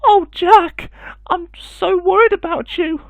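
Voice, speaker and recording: A fretful storybook mother, put on by a man in falsetto, pitched very high. She exclaims with strong emotion, anxious and quick to scold. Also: fairly even intonation, gravelly, no room sound, exaggerated voice. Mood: tearful, worried.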